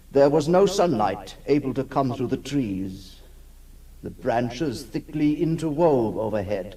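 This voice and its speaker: A man playing a brooding, haunted recluse in a 1960s radio horror monologue, speaking gravely and theatrically with dramatic pauses. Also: medium pitch, dark tone. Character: brooding, haunted male recluse raised in a decaying castle. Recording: distant-sounding 1960s radio recording.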